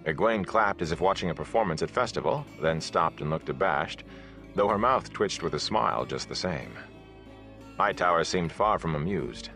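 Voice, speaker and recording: A man reading a fantasy novel as an audiobook narrator, with a measured, dramatic storytelling delivery. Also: low voice, animated, a bit bright, faint music behind.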